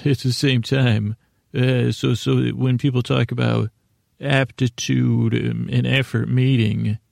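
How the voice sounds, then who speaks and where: A man's voice: medium pitch, vocal fry, a little mellow, no echo, clean studio sound. A middle-aged American man hosting a bedtime podcast, rambling in a meandering, self-deprecating way, often stammering and interrupting himself. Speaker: podcast host.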